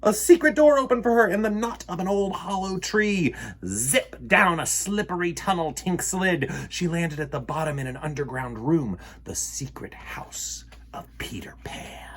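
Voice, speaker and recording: A man telling a children's story in an animated, theatrical way, acting out the sound words. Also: sits high, normal speed, few pauses.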